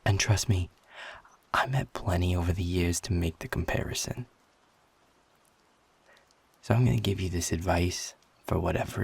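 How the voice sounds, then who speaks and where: A man playing a guarded, sarcastic, defiant werewolf in an intimate audio roleplay, talking bluntly with a husky, gravelly voice. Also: low-pitched, heavily acted. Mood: grudgingly advising. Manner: sardonic, blunt, swearing, confrontational.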